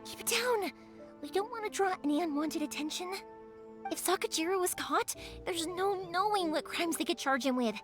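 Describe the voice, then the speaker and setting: A woman voicing a cheerful, warm-hearted young fireworks maker in a game, friendly and reassuring. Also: very high voice, expressive, moderate pace. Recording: game audio with background music.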